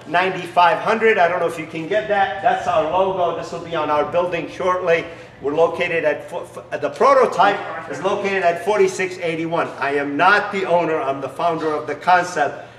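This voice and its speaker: Middle-aged non-native male founder, eager sales pitch, slightly high voice, recorded off-mic in a roomy garage. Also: fairly bright tone, slight background noise. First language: not English. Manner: enthusiastic salesy pitch with broken phrasing. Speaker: business founder. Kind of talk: promotional demonstration. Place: large garage workshop. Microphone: camera mic from a few steps away. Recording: echoey garage, distant mic.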